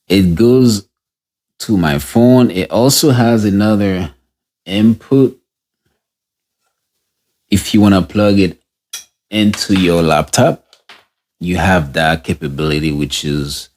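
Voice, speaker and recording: A man with a deep voice giving a casual, unscripted product review, talking through the gear as he tests it and restarting sentences as he goes. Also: a little mellow. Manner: unscripted, halting, restarts mid-sentence while testing gear.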